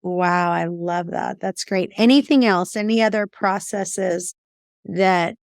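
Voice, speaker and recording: A woman's voice: lowish voice, takes it slowly, gravelly, dry recording. Woman hosting an interview podcast, dry sound. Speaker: podcast host. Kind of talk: conversational interviewing.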